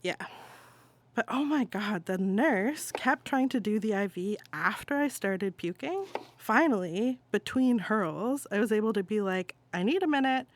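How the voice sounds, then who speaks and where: A woman performing an exasperated adult venting to a cousin in a casual, halting phone-call monologue. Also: medium pitch, lively intonation, slight background noise. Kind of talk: dramatic monologue, conversational stage reading.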